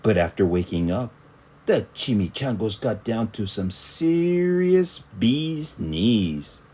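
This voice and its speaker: A man narrating a children's story aloud in a dramatic, expressive storytelling style. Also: lowish voice, slow, slightly rough. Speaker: storybook reader.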